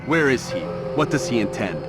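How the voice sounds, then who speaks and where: A man's voice: mid-range voice, expressive, fairly quick, natural voice. A man playing a stern, defiant assassin in a video game, speaking bluntly and accusingly. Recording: music loud behind.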